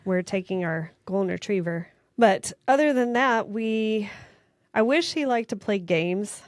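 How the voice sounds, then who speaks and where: A woman's voice: medium pitch, expressive, a little slow, dry recording. A middle-aged woman chatting casually as a podcast co-host. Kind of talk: casual chat.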